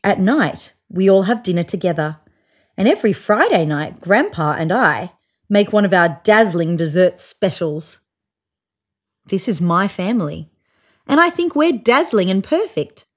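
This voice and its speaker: A woman in her thirties reading a children's picture book aloud in an expressive, child-directed way. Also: medium pitch, measured pace. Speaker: storybook reader. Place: small room indoors, seated on a couch.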